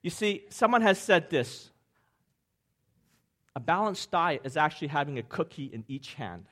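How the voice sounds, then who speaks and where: A man's voice: fairly high pitch, big pitch swings, normal speed, a bit bright. A middle-aged man from Hong Kong preaching with an upbeat, joking manner, putting questions to the congregation. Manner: jokey, upbeat, asks the crowd questions. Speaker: pastor. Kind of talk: sermon with audience interaction.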